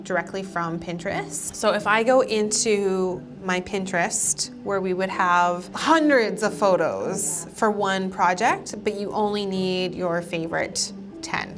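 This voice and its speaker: A woman's voice: medium pitch, unhurried, over music. A woman in her thirties talking casually and emphatically as an upbeat vlog host. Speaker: vlog host and interior designer.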